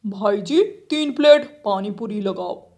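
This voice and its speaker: A woman's voice: mid-range voice, animated, slow pace, mellow. A woman voicing a cheerful, boastful male city crow in a children's cartoon, with eager, exclamatory delivery. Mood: excited. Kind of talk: Hindi children's cartoon story.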